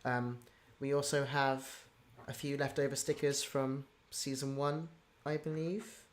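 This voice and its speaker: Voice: mid-range voice, little pitch movement, a little slow. An enthusiastic, informal host chatting casually on a livestream.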